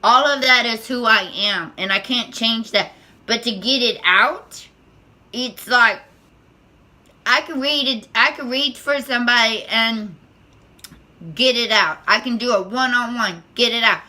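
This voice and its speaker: Squeaky, bright-voiced nervous woman. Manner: nervous, halting, many restarts and repeated words. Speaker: spiritual tarot vlogger. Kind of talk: unscripted spiritual monologue to camera.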